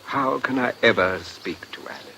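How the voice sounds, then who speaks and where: An actor playing a polite, precise, secretly troubled English gentleman in a radio drama, courteous and a little hesitant. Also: medium pitch, very animated, normal speed, a little hiss or hum. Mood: preoccupied, troubled.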